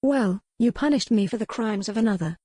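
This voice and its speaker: An earnest, compassionate young lady held captive, as a female reader plays her in an audiobook romance. Her speech is formal and challenging, at a medium pitch. The recording is close and dry. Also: lively intonation, brisk, dark tone, husky, no room sound. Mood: challenging, pleading. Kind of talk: audiobook character dialogue.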